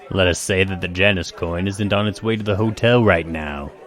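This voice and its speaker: An actor playing a scheming client in an audio drama, formal and measured, with an insinuating delivery. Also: male voice, deep voice, lightly acted. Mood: sly, formal. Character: smooth, scheming male client with veiled offers. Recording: crowd murmur behind.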